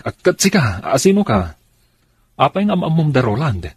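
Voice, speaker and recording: A man with a very husky, rough voice playing an easygoing, colloquial driver in a radio drama. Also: fairly high pitch, sing-song, normal speed, no echo, studio quality. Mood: inquisitive. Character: easygoing male driver helping friends with a scheme.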